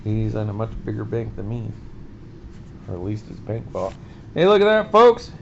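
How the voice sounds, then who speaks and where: Gravelly chatty man with a stuffy nose. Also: on the low side, very animated, moderate pace, slight background noise. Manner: chatty, addresses viewers as folks. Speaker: card-opening vlog host. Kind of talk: casual chat. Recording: dull lo-fi recording.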